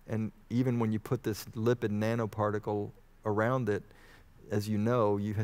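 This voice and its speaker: Low-voiced middle-aged man explaining carefully. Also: creaky, darkish tone. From Houston, Texas, United States. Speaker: medical expert guest, vaccine researcher. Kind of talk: informal explanatory interview answers. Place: on stage in a church auditorium. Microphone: headset mic.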